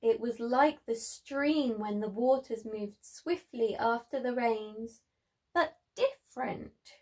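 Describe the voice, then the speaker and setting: A woman's voice: on the high side, slow, darkish tone. A woman presenting a preschool story time, speaking in an encouraging way to small children.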